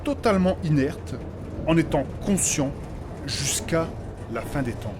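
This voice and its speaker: A man playing a formal, pedantic gentleman mage in a comic fantasy audio drama, speaking in precise, old-fashioned phrases. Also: fairly high pitch, expressive, unhurried, noisy background. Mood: solemn, explanatory. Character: formal, learned, fussy gentleman mage companion.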